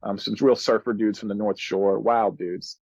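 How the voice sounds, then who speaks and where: A man's voice: on the low side, steady tone, moderate pace, a little mellow, no echo, muffled recording. A man in his thirties telling stories casually as a musician guest on a podcast, in laid-back, slangy, earnest and reflective speech. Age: 30s.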